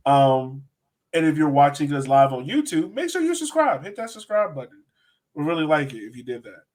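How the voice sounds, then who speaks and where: A man hosting a casual sports podcast, informal and slangy, speaking directly to his co-hosts and viewers. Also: fairly high pitch. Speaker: podcast host.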